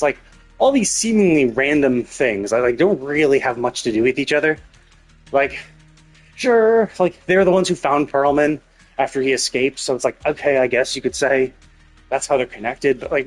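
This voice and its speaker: A man hosting a livestream, giving casual game commentary in a rambling way, thinking aloud as he goes. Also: mid-range voice. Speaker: livestream host. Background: game music.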